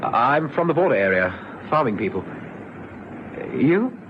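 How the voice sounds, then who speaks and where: An actor playing a gentle young policeman in a vintage radio drama, soothing, patient and a little hesitant. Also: mid-range voice, sing-song, a little slow. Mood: friendly, hesitant. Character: young policeman, calm, gentle, friendly negotiator. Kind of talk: 1970s radio drama acting. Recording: dull vintage radio recording with noise.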